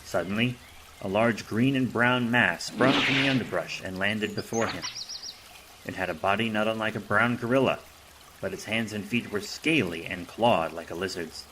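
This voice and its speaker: A man's voice: on the low side, normal speed, background noise. A man narrating an action audio drama in a dramatic, scripted storytelling style.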